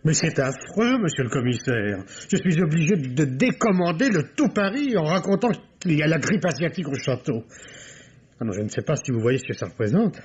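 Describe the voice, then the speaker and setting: Flamboyant male secretary and costumier, radio drama: effusive, indignant, very lively intonation, breathy edge, muffled vintage sound. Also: fairly high pitch, a little fast, exaggerated voice. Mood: distraught, self-pitying. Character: flamboyant, theatrical, high-strung male private secretary and costumier. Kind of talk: French radio mystery play acting. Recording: dull vintage radio recording.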